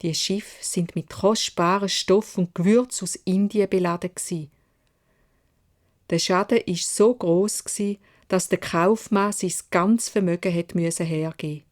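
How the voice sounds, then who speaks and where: A woman's voice: fairly low pitch, steady tone, unhurried, a little mellow. A woman with a Swiss German accent narrating a children's fairy tale expressively in dialect, as a storyteller.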